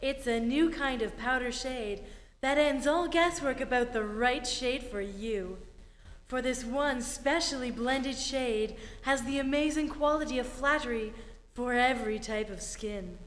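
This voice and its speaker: Actress as refined lady pitching cosmetics, vintage radio commercial, highish voice, echoing hall. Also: slight background noise, close to an everyday voice. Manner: polished, persuasive. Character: genteel lady beauty expert selling face powder. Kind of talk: 1940s radio advertisement. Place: theatre stage. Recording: echoey hall, live stage recording.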